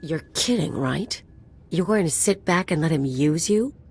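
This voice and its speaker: Brash, lowish-voiced mercenary woman. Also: lively intonation, measured pace, darkish tone. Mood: indignant. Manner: brash, scornful, confrontational. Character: brash, hot-tempered female mercenary companion. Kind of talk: video game voice acting.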